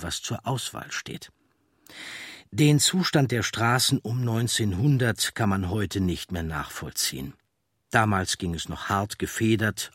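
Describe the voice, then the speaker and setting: Male audiobook narrator, low husky voice, explanatory documentary read. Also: no room sound, studio quality.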